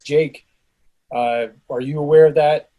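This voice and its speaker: A man's voice: a little high, fairly even intonation, measured pace, narrow, tinny audio. A man moderating a casual group discussion over a video call.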